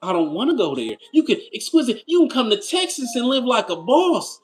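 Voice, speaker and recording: Man, 30s, livestream host: casual and slangy, reads chat, voice jumps in pitch. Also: sing-song. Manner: loose and slangy, with rhetorical why-questions and quick pitch jumps. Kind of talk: casual livestream chat, reading viewer comments.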